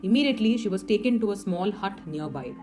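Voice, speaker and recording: A woman's voice: medium pitch, animated, fast. Woman telling horror tales over music. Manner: explanatory, rhetorical questions and dramatic emphasis. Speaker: storyteller. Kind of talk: semi-scripted horror storytelling. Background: music under the voice.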